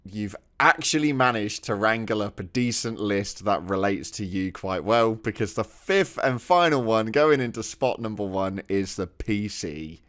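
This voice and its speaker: A man's voice: lowish voice, expressive, a little slow, hardly any pauses, fairly bright tone, no room sound, studio quality. A man co-hosting a casual gaming podcast, bantering and laughing easily. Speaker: podcast co-host.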